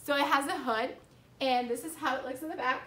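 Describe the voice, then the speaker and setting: An excitable young woman talks to a propped-up camera in her classroom, chatting about her school day. Her voice sits a little on the high side, and her manner is upbeat and chatty. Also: a little fast. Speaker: fourth grade teacher and vlogger. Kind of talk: casual vlog chat. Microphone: camera propped nearby.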